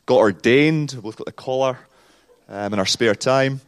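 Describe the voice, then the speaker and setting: A man's voice: mid-range voice, unhurried, clean tone. A man in his late thirties, from Scotland, with a New Zealand accent and Scottish traces, chatting casually as an interview guest in a church service with a rambling, self-deprecating manner.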